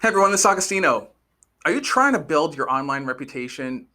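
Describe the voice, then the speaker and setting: A man's voice: on the high side, very animated, fast talker, over music. A man hosting a podcast, upbeat and chatty, often stumbling and repeating words as he asks questions. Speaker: podcast host.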